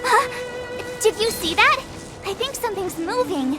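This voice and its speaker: A bubbly young game heroine with an extremely high, bouncy voice. She is cocky and sassy, and music plays clearly behind her. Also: expressive, unhurried. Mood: curious. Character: perky, cocky teenage girl adventurer with ice powers. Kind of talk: video game voice acting.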